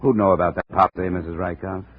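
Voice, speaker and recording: An actor playing a cool, persistent insurance investigator in a 1950s radio drama, clipped and matter-of-fact. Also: male voice, deep voice, steady tone, fast talker, lightly acted. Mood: cool, pressing. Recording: tinny, noisy old radio recording.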